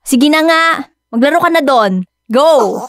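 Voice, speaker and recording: A woman acting in a radio drama as a doting, playful young dog owner, cooing and expressive. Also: sits high, unhurried, dry recording. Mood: coaxing, cooing.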